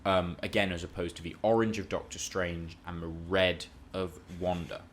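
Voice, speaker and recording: Deep-voiced man, opinionated podcaster. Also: fairly even intonation, moderate pace, slight background noise. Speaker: podcast co-host. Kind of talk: casual film and TV discussion.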